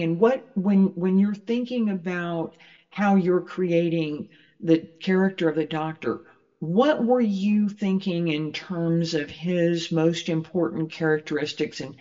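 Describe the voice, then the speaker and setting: An interviewer asking questions in a measured, halting way. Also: medium pitch, a little mellow. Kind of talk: interview, slow deliberate questioning. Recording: muffled, long hall-like reverb.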